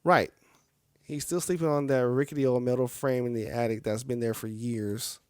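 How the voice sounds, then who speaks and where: A man's voice: mid-range voice, fairly even intonation, normal speed, clean tone, dry recording, studio quality. A man reading a game's story aloud on a live stream, with casual, chatty asides to viewers. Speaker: game streamer.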